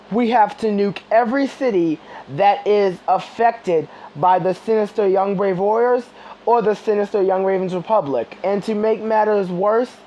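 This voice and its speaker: A person reading a scripted emergency broadcast in a deliberate, formal announcing manner. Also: medium pitch, warm tone, rough recording.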